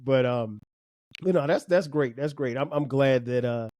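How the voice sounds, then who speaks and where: A man hosts a podcast interview and asks long, loose questions in a friendly back-and-forth. His pitch sits in an ordinary middle range. The sound is dry and close, with no room echo. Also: normal speed, a little mellow. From Florence, South Carolina. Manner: easygoing, laughs often, rambling questions. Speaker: podcast host. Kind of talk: casual interview conversation.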